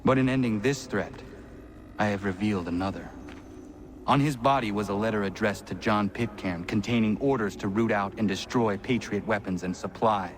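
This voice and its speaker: An actor playing an earnest, resolute young Native warrior in a video game cutscene, with measured, solemn phrasing. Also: male voice, on the low side, lightly acted. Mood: grave resolve.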